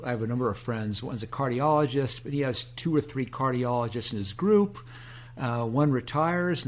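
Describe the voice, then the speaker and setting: A man hosting a podcast interview, asking questions in a relaxed, folksy way with plenty of fillers. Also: medium pitch, a little hiss or hum. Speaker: podcast host. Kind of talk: conversational interview.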